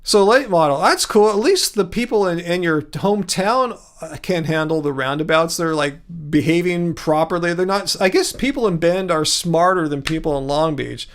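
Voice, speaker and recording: A man's voice: fairly high pitch, normal speed. A man with a Californian American accent hosting a casual livestream, rambling and easygoing as he replies to viewers. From California, United States. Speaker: livestream host. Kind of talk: casual livestream chat, replying to viewer comments.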